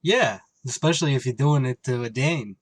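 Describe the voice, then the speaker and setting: A man's voice: mid-range voice, slight background noise, close to an everyday voice. A man playing a dim-witted, eager tavern regular in an old-time radio comedy. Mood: eager, dopey. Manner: slow-witted, naive.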